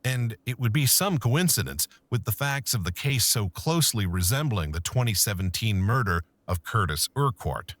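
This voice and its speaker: A man narrating a true-crime documentary in a measured, scripted storytelling manner. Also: lowish voice, lively intonation, fairly bright tone, no echo.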